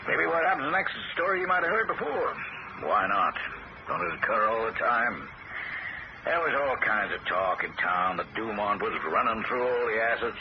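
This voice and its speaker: An actor playing an earnest, loyal man who narrates his own story and argues indignantly, stammering when upset, in a 1970s radio drama. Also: lowish voice. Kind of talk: first-person radio drama narration and dialogue. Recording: muffled old radio broadcast with hiss.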